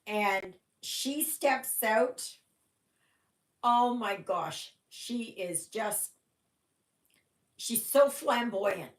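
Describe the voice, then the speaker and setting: A woman's voice: a little high, lively intonation, drawn-out words, long pauses, roomy sound. A Canadian woman whose first language was German, hosting a casual stitching livestream and chatting in a rambling way full of "oh my gosh".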